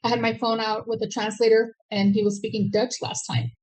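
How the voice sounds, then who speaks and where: A woman's voice: a little high, level tone, a little fast, darkish tone, rough recording. An older woman guest, reflective and a bit stunned, often saying wow.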